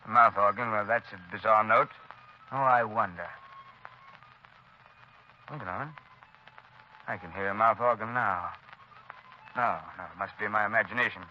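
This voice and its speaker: A man's voice: on the low side, normal speed, pauses often. A man acting in a 1930s radio drama with a formal, somewhat pompous delivery. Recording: dull old radio recording, faint hiss.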